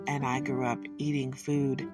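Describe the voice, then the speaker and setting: A chatty woman talks through a home recipe in a folksy, joking way. She sits back from the microphone, so her voice sounds a little distant. Guitar music plays clearly underneath her. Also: very deep voice, flat delivery, a little slow. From Detroit, USA. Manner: chatty and folksy, with self-amused asides and corny jokes. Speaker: home cook. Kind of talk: casual cooking vlog.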